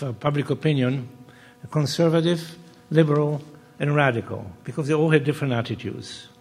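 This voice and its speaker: An older man, born and raised in Poland, giving a measured academic talk on a panel, pausing often and restarting phrases. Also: mid-range voice. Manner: measured, with frequent pauses and restarts. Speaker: panel speaker. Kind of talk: academic lecture. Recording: reverberant hall.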